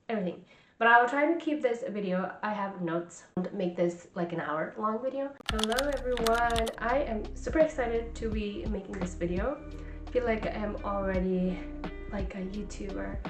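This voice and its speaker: A woman's voice: medium pitch, echoey room. A woman in her twenties from Nepal, talking in a casual, reflective vlog full of "like" and "you know.". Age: 20s. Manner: chatty, reflective, many fillers like "like" and "you know". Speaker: vlogger and coach. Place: home in California.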